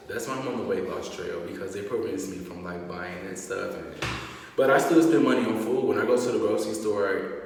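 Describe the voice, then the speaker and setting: Low-voiced chatty man in an echoey room. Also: a little fast, distant mic, slight background noise. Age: late 20s. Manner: chatty, animated, frequent "like" fillers. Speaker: vlogger host. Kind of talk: casual chat.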